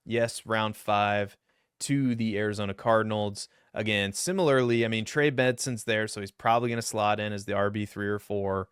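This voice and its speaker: Deep-voiced man breaking down a mock draft. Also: fairly bright tone, smooth, no room sound, studio quality. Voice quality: deep voice that sometimes cracks high. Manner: casual, rambling, self-deprecating asides. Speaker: fantasy football YouTuber. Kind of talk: solo mock draft commentary.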